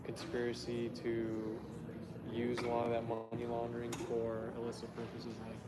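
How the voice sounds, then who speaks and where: A man's voice: mid-range voice, monotone, normal speed. Earnest man in a noisy echoey room. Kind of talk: casual chat. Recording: echoey room with loud noise.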